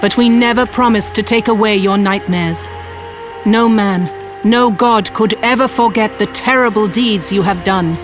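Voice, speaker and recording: An actress playing a calm, stately goddess in a video game cutscene, with a soft, measured voice. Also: female voice, mid-range voice, lightly acted. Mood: solemn. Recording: game cutscene under music.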